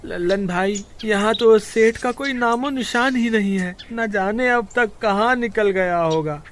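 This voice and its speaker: Guy doing whiny village sidekick crook for a kids cartoon, really high voice, panicky, bit of noise behind. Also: measured pace, fairly bright tone. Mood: panicky, fretful. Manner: whiny, exclaiming, pleading. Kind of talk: Hindi moral-story cartoon voice acting.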